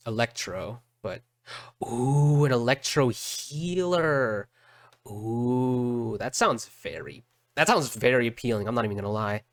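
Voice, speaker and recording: A man giving casual reaction commentary as a streamer, halting and often repeating his words. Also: medium pitch, dry recording.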